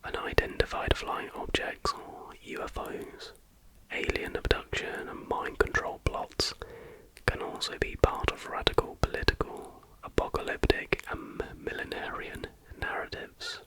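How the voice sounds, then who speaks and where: Slow, whispering ASMR host. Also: poor audio. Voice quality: whispered, breathy. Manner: chatty, informal, joking asides.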